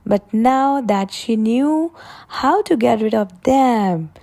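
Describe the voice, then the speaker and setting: A woman reading picture books aloud for young children in a deliberate, expressive way, drawing out her words. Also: fairly high pitch, gravelly. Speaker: children's story reader.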